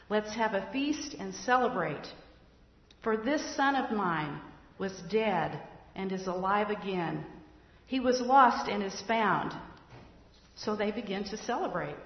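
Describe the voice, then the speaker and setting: A woman reading a scripture passage aloud in church, slow and measured. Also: medium pitch. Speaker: scripture reader. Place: church sanctuary. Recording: echoey hall, dull lo-fi sound.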